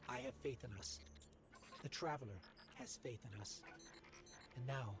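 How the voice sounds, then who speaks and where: A man's voice: medium pitch, unhurried, natural voice. A man playing a small robotic companion in a video game, speaking in an earnest, reflective monologue. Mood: earnest, hopeful. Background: music.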